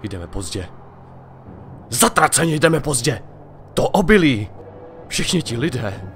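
A man's voice: medium pitch, very animated, fairly bright tone, faint music behind. A man playing a young, hot-headed human prince in an amateur audio drama, bitter and desperate. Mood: anguished.

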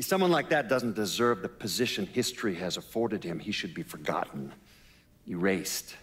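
Actor as grave older ex-lawman, low voice. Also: lightly acted. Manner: measured, reflective. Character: grave older former lawman looking back on a mobster. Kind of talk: documentary interview acting.

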